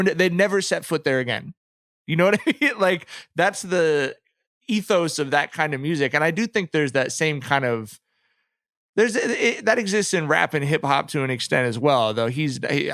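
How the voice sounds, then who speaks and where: A man's voice: fairly high pitch, fairly bright tone, no room sound, studio quality. A man co-hosting a podcast, thinking aloud in casual, analytical discussion and checking that others follow. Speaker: podcast co-host.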